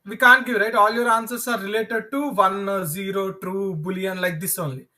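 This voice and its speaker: A man with a South Indian accent, a native Telugu speaker, teaching programming in an informal live online lesson, explaining haltingly and restating his points. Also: high voice, fairly even intonation, bright, forceful tone. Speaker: programming instructor.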